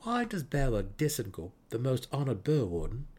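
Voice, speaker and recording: A man voicing an earnest exiled dark elf in a fantasy audiobook, frank and wistful, with formal, sincere phrasing. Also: mid-range voice, huge pitch swings, slightly rough, voice pushed up. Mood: challenging. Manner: formal, sincere, measured wording.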